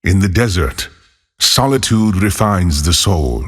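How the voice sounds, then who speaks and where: An actor playing a solitary, haunted former monk turned lawless desert wanderer in a video game, speaking in a solemn, measured, husky voice. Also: male voice, deep voice, animated, a bit bright, full character voice. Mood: brooding, solemn. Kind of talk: scripted game character voicelines.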